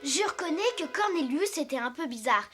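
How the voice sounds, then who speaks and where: A woman's voice: high-pitched, lively intonation, a little fast, a bit bright. A woman voicing a young boy elephant king in a French cartoon, earnest, trusting and questioning. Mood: trusting, dismissive.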